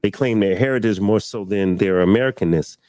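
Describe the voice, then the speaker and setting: A man's voice: lowish voice, brisk, dark tone. A man taking part in a casual panel discussion, talking in a direct, emphatic way.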